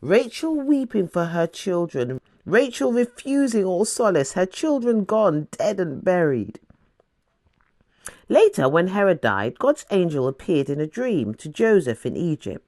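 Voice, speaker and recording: A woman reading scripture as a dramatic audiobook narrator, with deliberate, emphatic storytelling phrasing. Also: fairly low pitch, very animated, darkish tone, dry recording, studio quality. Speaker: scripture reader.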